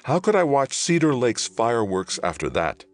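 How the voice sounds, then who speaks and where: A man's voice: low voice, sing-song, moderate pace, faint music behind, pitched above the performer's own voice. Troubled, earnest war veteran, read by a man. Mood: somber, intense. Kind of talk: audiobook character dialogue.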